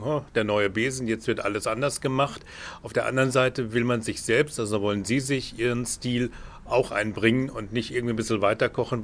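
A man presenting a scripted report and then asking interview questions, with a fluent, polished delivery. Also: medium pitch. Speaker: radio interviewer and narrator.

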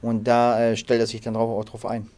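Halting man speaking in a flat tone. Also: medium pitch. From Berlin, raised in southern Germany. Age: 30s. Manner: halting, many fillers and restarts. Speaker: head chef. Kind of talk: interview.

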